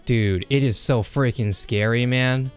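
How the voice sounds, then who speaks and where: A young man hosting a casual gaming chat, excitable, swearing and saying "bro" a lot. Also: medium pitch, unhurried, smooth, faint music behind. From Kansas. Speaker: podcast host.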